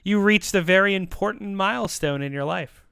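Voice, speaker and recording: A man's voice: high-pitched, fairly quick, creaky, pushed and bright, clean tone, no room sound, studio quality. A man hosting a podcast, chatting casually in an upbeat, talkative way. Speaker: podcast host. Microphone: close microphone on a remote call.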